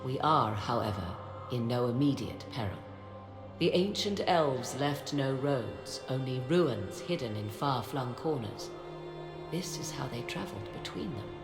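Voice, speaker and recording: A woman playing a poised, proud sorceress in a video game, speaking formally and enigmatically in a measured, haughty manner. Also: a bass voice, lively intonation, heavily acted. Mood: measured, knowing. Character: poised, cryptic sorceress, proud keeper of ancient secrets. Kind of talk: dramatic video game dialogue. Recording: reverberant, with game music behind.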